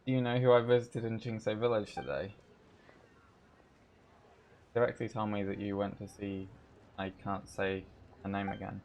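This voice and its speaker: A man's voice: on the low side, fairly even intonation, normal speed, pauses often, darkish tone, a little hiss or hum. A man doing casual live gameplay commentary as a streamer, reacting aloud and muttering to himself. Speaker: game streamer.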